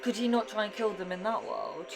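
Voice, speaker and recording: A woman's voice: mid-range voice, lively intonation, brisk, gravelly, music in the background. A woman giving casual reactions in conversation, thinking aloud in a hesitant way. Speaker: reaction show host, newcomer to the series.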